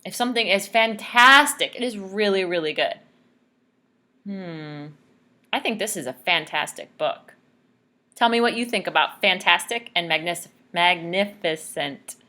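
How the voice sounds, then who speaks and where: A woman reading a story aloud to children in a teacherly, expressive way, pausing to ask questions. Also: lowish voice. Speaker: speech therapist reading aloud.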